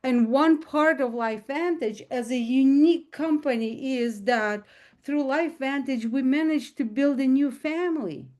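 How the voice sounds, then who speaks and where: A woman's voice: high-pitched, measured pace, darkish tone. Earnest Russian-accented woman. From former Soviet Union. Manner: earnest, emphatic, repeats herself. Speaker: guest speaker. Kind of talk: casual talk to an audience.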